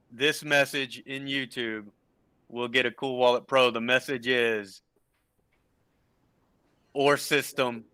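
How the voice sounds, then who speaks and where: A man's voice: mid-range voice, pushed and bright, clean tone, no echo. A middle-aged man on a webcam call, playful and folksy, with a bit of country slang. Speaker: team member on a live stream.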